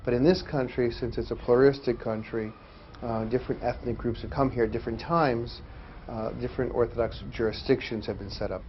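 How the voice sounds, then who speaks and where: A man's voice: mid-range voice, steady tone, a little fast, a little hiss or hum. A man giving explanatory answers in an interview. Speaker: interviewee on Orthodox church life. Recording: dull, lo-fi interview audio.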